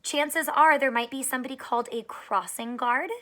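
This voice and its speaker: Woman, high bright voice, animated storybook read-aloud, teacher addressing young children, expressive, questioning. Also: normal speed. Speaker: elementary school teacher reading aloud to students.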